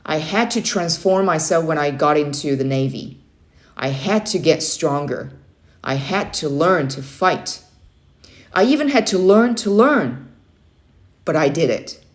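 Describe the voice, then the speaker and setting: A woman's voice: low voice, lively intonation, a little slow. A woman voicing a stern, motivating young Navy uncle in a children's story read-aloud, firm and emphatic. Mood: rousing, emphatic.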